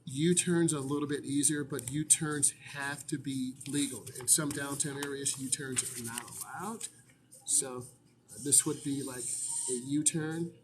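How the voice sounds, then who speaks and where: A man's voice: mid-range voice, steady tone, a little slow, background noise. A man, a driving instructor, casually answering viewer questions in a live stream, chatty with frequent fillers.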